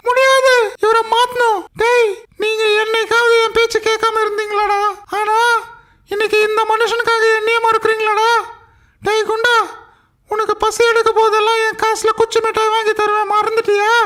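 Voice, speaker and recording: An extremely high-pitched cartoon parrot, feisty and bossy like a scheming schoolkid. The voice stays squeaky and narrow in its pitch, whining and arguing in an indignant manner. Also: female voice, level tone, moderate pace, fairly bright tone. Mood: exasperated. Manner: complaining, argumentative.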